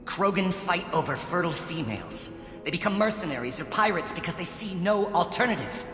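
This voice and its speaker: A man's voice: high-pitched, lively intonation, brisk, a bit bright. A man playing a self-righteous, idealistic alien scientist in a video game, arguing in an earnest, accusing manner. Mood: impassioned. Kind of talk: video game cutscene acting. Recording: echoey hall reverb under clear background music.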